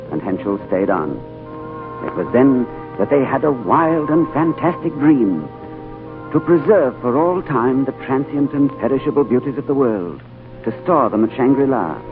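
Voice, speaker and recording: An actor playing an earnest, awestruck traveler in a 1940s radio drama, with a dramatic, literary delivery. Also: male voice, mid-range voice, natural voice. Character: earnest, awestruck male traveler narrating his tale. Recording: tinny 1940s radio recording under music.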